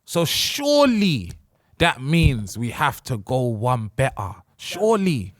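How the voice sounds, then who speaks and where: Teasing podcast host, dry studio sound. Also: male voice, mid-range voice, huge pitch swings, slow, no room sound. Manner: teasing, laughs often, talks over others. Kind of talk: football podcast banter.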